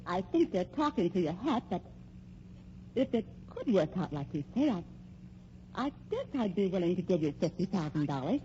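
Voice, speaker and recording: A woman's voice: lowish voice, big pitch swings, moderate pace, mellow. An actress playing an indignant, calculating young American woman in an old radio crime drama, haughty and protesting. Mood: tempted, hesitant. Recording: dull old radio recording, faint hum.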